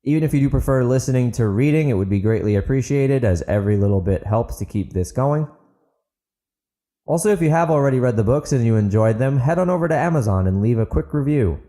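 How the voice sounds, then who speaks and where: A man's voice: mid-range voice, animated, fast talker, mellow. A man narrating fiction and hosting his own podcast, in the style of a storyteller addressing listeners. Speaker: author, podcast host and narrator. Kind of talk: dramatic audiobook narration and podcast outro.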